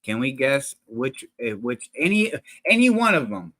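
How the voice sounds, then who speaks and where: A man chats loosely to his viewers in a reaction video, speaking slangy and rambling with lots of restarts and fillers. His voice sits at an ordinary middle pitch. It comes through a close desk microphone in a dead, dry room with almost no echo. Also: big pitch swings, normal speed, bright, forceful tone. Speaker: reaction channel host. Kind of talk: casual reaction commentary. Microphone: desk microphone, sometimes peaking.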